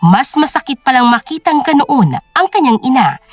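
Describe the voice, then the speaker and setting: High, animated Filipino woman narrator. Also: moderate pace, dry recording, soft background music. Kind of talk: dramatic scripted story narration.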